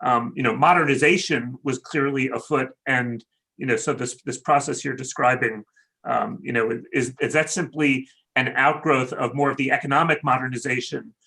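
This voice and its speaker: Male moderator, gravelly voice, hesitant follow-up questioner. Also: mid-range voice, steady tone, moderate pace, bright, forceful tone, low-quality recording. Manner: hesitant questioning, many you-knows. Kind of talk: academic panel discussion.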